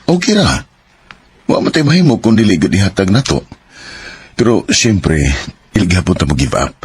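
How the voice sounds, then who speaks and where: An actor playing a devoted, hopeful young husband in a Filipino radio drama, speaking with a Filipino accent. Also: male voice, deep voice, big pitch swings, moderate pace, slightly rough, full character voice. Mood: consoling.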